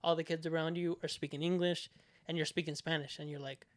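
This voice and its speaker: A man's voice: a little high, flat delivery, fast, no echo. A young man born in Mexico, co-hosting a podcast in loose, rambling casual chat, laughing often and swearing freely. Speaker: podcast co-host.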